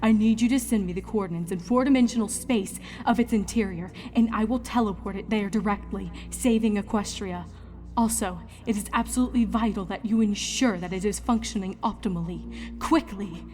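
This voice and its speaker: A woman's voice: medium pitch, fairly quick, dark tone, soft background music, lightly acted. A woman voicing a regal female pony princess, speaking formally and solemnly, as in a storybook reading. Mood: grave, formal.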